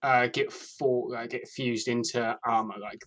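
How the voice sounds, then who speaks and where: A man's voice: mid-range voice, fairly even intonation, a little slow, fairly bright tone. A man co-hosting a casual podcast, chatting informally and repeating words as he stammers through explanations. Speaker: podcast co-host. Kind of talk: casual pop-culture discussion.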